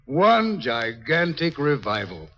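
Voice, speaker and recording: An actor playing a composed scientist who explains things deliberately and dryly in a 1950s radio drama. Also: male voice, a little high, very animated, pushed and bright, slight background noise, exaggerated voice. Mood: grave, explaining. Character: composed, lecturing male scientist at a doomed observatory. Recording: dull lo-fi 1950s radio recording.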